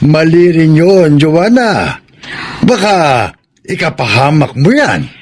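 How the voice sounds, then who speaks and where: An actor playing a calm, protective old grandfather in a radio drama, with a Filipino accent. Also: on the high side, very slow, exaggerated voice. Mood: gently admonishing.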